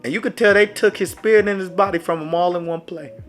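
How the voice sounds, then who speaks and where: A man's voice: high-pitched, fast talker. A man telling a dramatic sports story in a casual storytime vlog, talking straight to his viewers. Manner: dramatic, animated, speaks to viewers directly. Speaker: storyteller vlogger. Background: faint background music.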